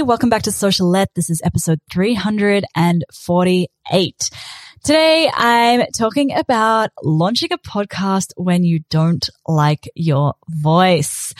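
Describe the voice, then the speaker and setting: A young woman with a New Zealand accent chats upbeat and self-assured, hosting her own podcast. Her voice sits at an ordinary middle pitch. It is recorded close and dry, with no room around it. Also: no echo. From South Africa, raised in New Zealand. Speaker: podcast host. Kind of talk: casual solo podcast talk. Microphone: studio podcast microphone.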